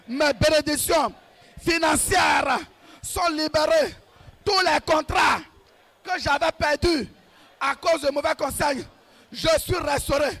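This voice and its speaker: A man leading a shouted deliverance prayer, hammering out short repeated commands in a rhythmic, commanding way. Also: very high-pitched, vocal fry. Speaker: prayer leader.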